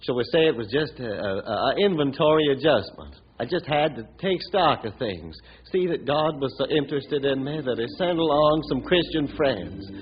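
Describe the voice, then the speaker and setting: An actor playing a proud, plain-spoken young rancher in an old-time radio western, speaking in a halting, earnest way. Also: male voice, medium pitch, expressive, breathy edge. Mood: humbled, grateful. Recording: muffled, noisy old radio recording.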